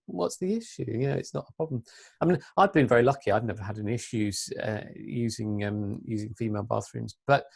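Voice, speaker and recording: A woman with a deep voice and an English accent, speaking earnestly as an interview guest, with frequent restarts and fillers. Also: darkish tone. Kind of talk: interview conversation.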